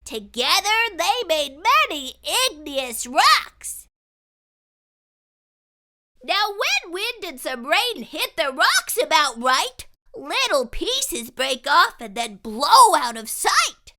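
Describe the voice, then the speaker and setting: A woman voicing an excitable young boy who spins a tall tale in dramatic, sing-song rhyme for a storybook read-aloud. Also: extremely high pitch, slow pace, voice pushed up, full character voice. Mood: excited, insistent.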